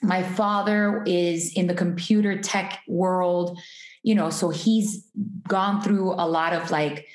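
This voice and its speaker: A woman's voice: fairly low pitch, fairly even intonation, slow. A woman from Miami, a guest in an interview, chatting about her life with frequent ums, restarts and easy laughter. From Miami, USA. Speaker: guest, artist and entrepreneur. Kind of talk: casual interview conversation. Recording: roomy echoey sound.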